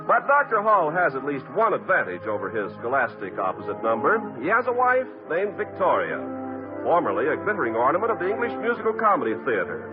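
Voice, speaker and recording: An American man announces a vintage radio programme with a fairly high, very animated voice. The sound is thin and tinny, with music behind him. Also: fairly quick.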